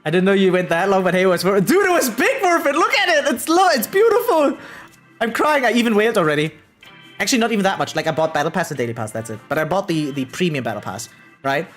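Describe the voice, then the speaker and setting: An excitable gaming streamer chatting casually and slangily on a livestream, swearing freely. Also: medium pitch, quick pace. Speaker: variety game streamer. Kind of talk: casual livestream chat about gacha games. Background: background music.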